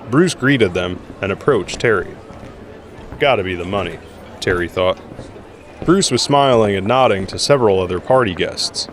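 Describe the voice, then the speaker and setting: A man's voice: fairly low pitch, slight background noise. A man reading scripted narration for an audio drama. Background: music.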